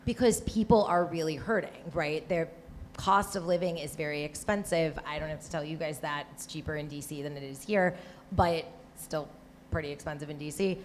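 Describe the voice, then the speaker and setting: A woman with a Michigan accent speaks on a live panel, her voice sitting quite low. She talks conversationally, often restarting and checking in with a quick "right?". A faint hiss of noise sits behind her. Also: fairly even intonation. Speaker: panelist, former Senate staffer.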